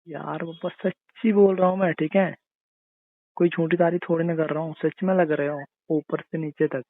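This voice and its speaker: A man's voice: fairly high pitch, fairly even intonation, moderate pace, dry recording. A man playing a teasing young husband in intimate casual banter, coaxing and insisting playfully. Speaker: husband in a couple's call. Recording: tinny narrow phone-call sound.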